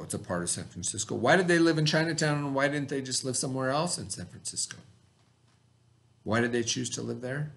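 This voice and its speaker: A man's voice: medium pitch, a bit bright. A man speaking as a teacher in a read-aloud lesson, explaining simply and posing questions that he answers himself.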